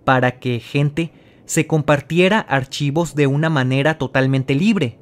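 Male mystery narrator for voice-over, dramatic and direct, a touch high-pitched. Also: unhurried. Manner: dramatic, addresses the viewer directly. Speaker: channel host narrator.

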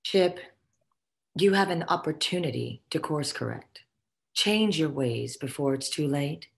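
Low, haughty ghost socialite, swooping delivery. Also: female voice, animated, measured pace, creaky, heavily acted. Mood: admonishing. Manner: theatrical, imperious, condescending. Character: haughty, foul-mouthed ghost of a dead socialite boss. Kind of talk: virtual table read, comic stage acting. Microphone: video call.

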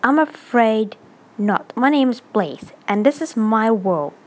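A woman voicing a composed, serious young cat princess in an amateur comic dub, speaking in a measured, formal way. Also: a little high, animated. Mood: composed, polite. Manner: measured, formal, deliberate delivery.

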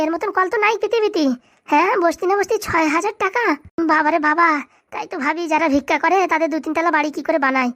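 Fast high creaky village beggar wife, cartoon drama queen, sly and whiny. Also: dry recording, clean studio sound. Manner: melodramatic wailing, drawn-out lamenting, gloating asides. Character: scheming fake beggar wife, wailing over sick husband. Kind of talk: comedy cartoon voice acting.

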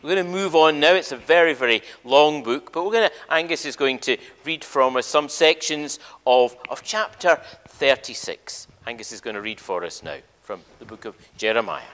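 A man speaking as a church minister leading a service, informal in address, with halting, restarted phrases. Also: mid-range voice, lively intonation, fairly bright tone. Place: large wood-panelled church hall. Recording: long church hall reverb.

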